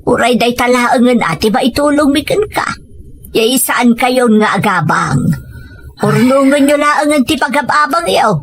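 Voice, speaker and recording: Woman playing a supportive older ilocano mom in an audio drama, husky and fairly high, practical. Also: expressive, unhurried. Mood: reassuring, practical. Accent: Ilocano Filipino. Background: background music.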